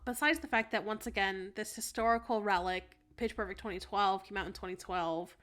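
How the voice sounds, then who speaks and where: A young woman with an American accent talks as a podcast panelist. Her voice sits at an average pitch. She argues her point casually, rambling and doubling back on words. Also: brisk, a bit bright. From United States. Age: young adult. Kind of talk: casual argumentative podcast talk.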